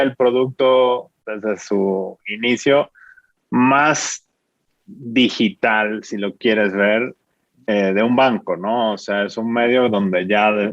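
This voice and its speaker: A man in his forties with a Dominican accent, explaining his business as an interview guest in a measured way, often ending with a questioning tag. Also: fairly low pitch, dry recording. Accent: Dominican Spanish. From Dominican Republic. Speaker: fintech founder, guest. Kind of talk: interview answers. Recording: muffled dry sound.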